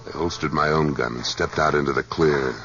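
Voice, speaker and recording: A man's voice: very deep voice, fairly even intonation, fairly quick, noisy background. A man acting a tough, laconic cowboy hero in a 1950s radio western, speaking and narrating in a terse, commanding manner. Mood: grim narration. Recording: dull 1950s radio recording with music.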